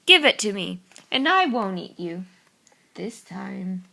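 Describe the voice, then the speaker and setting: A woman voicing a greedy, boastful storybook tiger, drawing her words out slowly and smugly. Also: mid-range voice, big pitch swings, creaky, sharp tone, heavily acted. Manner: slow, drawn-out and smug. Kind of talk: storybook read-aloud.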